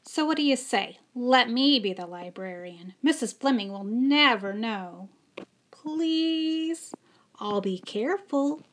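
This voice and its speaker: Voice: mid-range voice, a bit bright. A woman voicing a mischievous storybook pigeon who pleads and wheedles with dramatic outbursts. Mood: wheedling. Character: pleading, mischievous young male pigeon scheming to be librarian.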